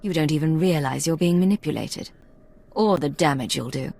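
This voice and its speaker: A determined imperial spy in a video game. Her voice sits low for a woman, and she speaks formally and firmly, with tight control. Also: moderate pace, creaky, darkish tone. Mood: controlled, firm. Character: composed, determined female imperial secret agent.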